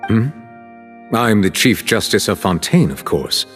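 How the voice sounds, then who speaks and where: A man playing a stern, composed chief justice in a video game, speaking in a formal, measured, courteous way. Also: on the low side, animated, over music. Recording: long hall reverb.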